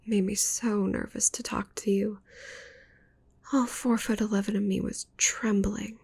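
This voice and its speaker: Possessive witch for ASMR roleplay, woman performer: slow, breathy whisper with creaky edges, doting yet menacing. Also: medium pitch, level tone, darkish tone. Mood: tender, smitten. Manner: slow, intimate, cooing menace. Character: possessive, needy young witch doting on shrunken captive.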